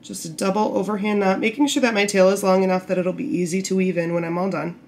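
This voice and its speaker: A woman getting over a cold, a bit croaky, patiently explaining knitting steps while working with her hands. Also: medium pitch, level tone, fast, darkish tone, slight background noise. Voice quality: slightly gravelly. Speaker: knitting tutorial host. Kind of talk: casual step-by-step instruction. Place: indoor table, camera over hands.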